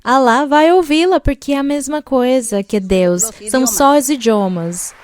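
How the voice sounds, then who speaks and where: A woman's voice: high voice, a crowd in the background. A woman church leader giving a patient, step-by-step sermon-style answer to a question. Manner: slow, patient, explaining step by step. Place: large arena.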